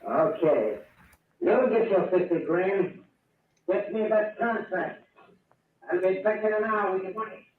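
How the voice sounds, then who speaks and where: An actor playing a blunt, pushy crooked contractor in 1930s radio drama style. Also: male voice, high-pitched, measured pace, long pauses, roomy sound, distant mic. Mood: pushy, irritated. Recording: tinny, noisy old radio recording.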